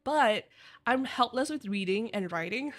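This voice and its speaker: A woman's voice: a little high, animated, a little slow. Giggly, bubbly woman streamer, native Chinese speaker. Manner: bubbly and giggly, laughs often; chatty, rambling asides. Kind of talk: casual live-stream chat with viewers.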